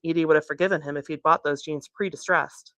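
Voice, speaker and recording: A woman reading narration from a young adult novel aloud in an expressive storytelling way. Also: low voice, fast, no echo. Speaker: author reading from their book.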